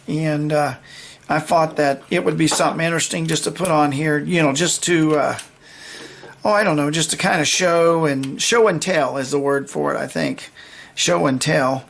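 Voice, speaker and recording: An older man giving a casual, unscripted demonstration of vintage gear, talking in a folksy, halting, rambling way. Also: fairly high pitch, vocal fry.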